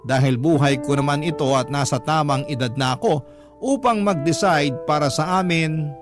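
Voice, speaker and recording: A man's voice: on the high side, normal speed. A man narrating a first-person love story as a radio storyteller, in a dramatic scripted style. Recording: prominent music bed behind narration.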